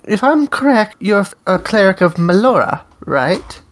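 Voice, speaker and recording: A woman playing a dream-summoning host who asks friendly, measured questions. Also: medium pitch, sing-song, natural voice. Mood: curious. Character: Rue, a dream-summoning interviewer. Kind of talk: tabletop character interview.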